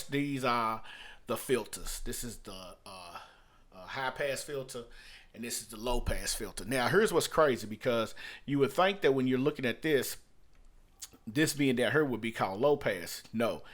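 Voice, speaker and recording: A man's voice: medium pitch, a bit bright. Middle-aged man giving an informal tutorial. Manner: conversational, repeats and rephrases points for emphasis. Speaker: music producer teaching a software tutorial. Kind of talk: informal screen-share tutorial, unscripted. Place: small room at home.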